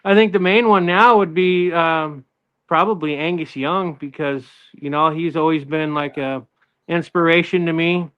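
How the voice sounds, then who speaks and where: A man's voice: on the high side, fairly even intonation, moderate pace, smooth, lo-fi sound. A middle-aged man from Northern California, a guest guitarist in a casual interview, easygoing and rambling with lots of fillers and restarts.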